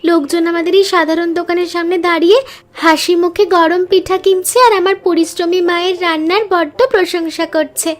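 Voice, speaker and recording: A woman voicing a dreamy, delighted little village girl in a cartoon, with an excitable manner. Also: very high voice, a little fast. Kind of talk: cartoon story dialogue.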